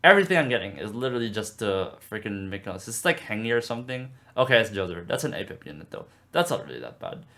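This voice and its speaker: A man's voice: lowish voice, sing-song, fast talker, few pauses, bright, forceful tone. A young man in his student years giving a loose, chatty rundown of a mobile game. His delivery rambles, with plenty of "like" fillers and restarts. The tone stays upbeat and casual, as if he is talking straight to his viewers. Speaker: gaming video creator. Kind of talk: casual game commentary vlog.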